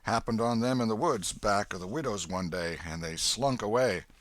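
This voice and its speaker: A man's voice: on the low side, moderate pace, no echo, natural voice. A man voicing a kindly, hearty old man in an audiobook, with a folksy, emphatic delivery. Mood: excited, commanding. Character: hearty, kindly old Welshman, hospitable father of grown sons. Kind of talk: audiobook character voice.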